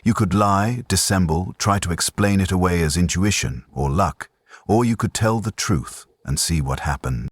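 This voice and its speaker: A man narrating a scripted dramatic story in a grave, deliberate manner. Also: low-pitched, a little hiss or hum.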